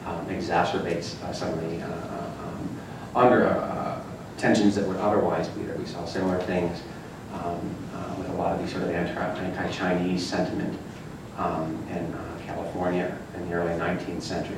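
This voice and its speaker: A man with a very low voice answers a panel question, stopping often with "um" and "uh". He sounds distant, caught from across a big echoey hall. A steady noise sits behind him. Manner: hesitant, many fillers. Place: large echoey lecture room. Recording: echoey room from far off with noise.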